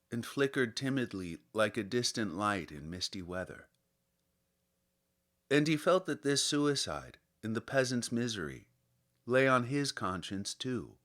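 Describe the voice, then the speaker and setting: Slow, measured male audiobook narrator. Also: on the low side, a bit bright. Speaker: audiobook reader. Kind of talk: literary scripted narration.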